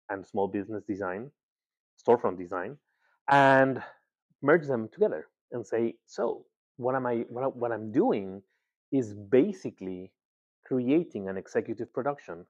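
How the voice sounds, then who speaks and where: A man's voice: on the low side, a little mellow. Man from Ecuador giving a talk. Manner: conversational, with filler words and repeats. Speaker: guest speaker, agency founder. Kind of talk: presentation talk. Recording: slightly dull, lo-fi sound.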